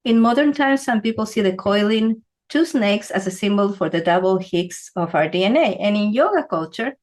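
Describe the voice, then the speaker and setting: A woman from Ecuador explaining symbolism in a relaxed teaching talk, often checking in with "right?". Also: mid-range voice, moderate pace. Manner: conversational, asks "right?" often. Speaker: feng shui teacher.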